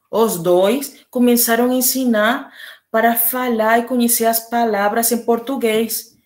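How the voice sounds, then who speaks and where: A woman with a Venezuelan Spanish accent, a native Spanish speaker, interpreting aloud phrase by phrase in slow, halting Portuguese. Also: medium pitch. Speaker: sign language interpreter and teacher. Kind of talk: voicing a signer's testimony, then commenting on a video.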